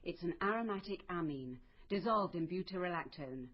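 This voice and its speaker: An actress playing a brisk, exacting laboratory scientist in a naturalistic radio drama, speaking in a precise, matter-of-fact way. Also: female voice, fairly low pitch, animated, breathy edge, highs cut off.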